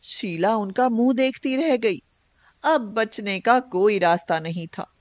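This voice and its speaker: A woman's voice: medium pitch, takes it slowly, darkish tone. A woman reading scripted story narration as a storyteller.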